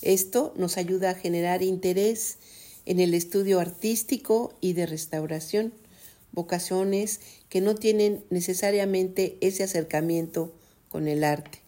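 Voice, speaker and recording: A woman presenting community radio news, reading an article aloud with a steady delivery and adding brief comments. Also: lowish voice, unhurried.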